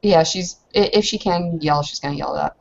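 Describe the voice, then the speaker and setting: Low-voiced woman playing a tabletop game, muffled. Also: moderate pace, vocal fry, darkish tone. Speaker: player in a tabletop roleplaying game. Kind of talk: casual game chat, describing her character's moves. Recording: dull lo-fi voice chat audio.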